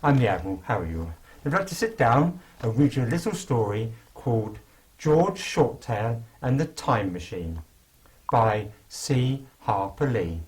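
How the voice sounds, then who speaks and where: Genteel male storyteller, mid-pitched voice. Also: sing-song, measured pace, darkish tone, rough recording. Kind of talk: reading a children's story aloud.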